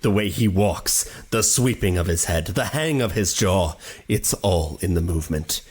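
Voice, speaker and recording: A man playing a grave, controlling narrator and director in an audio drama, measured and ominous. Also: deep voice, expressive, a little mellow, slightly rough. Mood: hushed awe.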